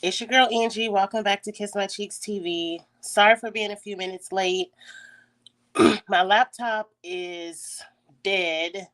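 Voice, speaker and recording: Congested raspy woman telling a story. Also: mid-range voice, fairly even intonation, a little slow, fairly bright tone. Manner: chatty, frequent throat clearing. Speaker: YouTube host. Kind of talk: casual story time. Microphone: phone.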